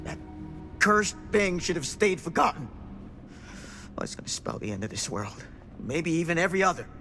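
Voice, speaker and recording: An actor playing a blunt, urgent, haunted old former small-town sheriff in a cinematic horror game. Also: male voice, a little high, big pitch swings, moderate pace, sharp tone, soft background music, exaggerated voice. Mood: agitated. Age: older.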